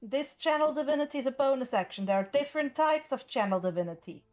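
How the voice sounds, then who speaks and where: A woman's voice: high voice, lively intonation, fast, a little mellow. A woman running a tabletop roleplaying game as game master, firm and matter-of-fact.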